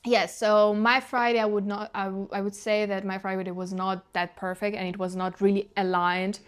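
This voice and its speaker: A young woman with a Ukrainian accent talks through her week in a casual, reflective vlog. She searches for words and restarts her phrases in a soft, dreamy way. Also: medium pitch, level tone, moderate pace, clear, resonant tone. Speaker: vlogger.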